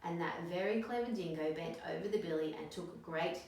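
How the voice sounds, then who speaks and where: Woman, children's story reader, expressive, lowish voice, heavily echoing room, distant mic. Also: a little fast, slight background noise. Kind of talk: expressive read-aloud storytelling.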